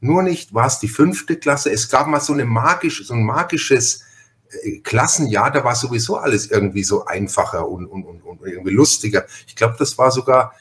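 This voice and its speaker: A man's voice: mid-range voice, expressive, normal speed, fairly bright tone. A middle-aged man, chatting casually and emphatically as a podcast host. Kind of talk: casual chat.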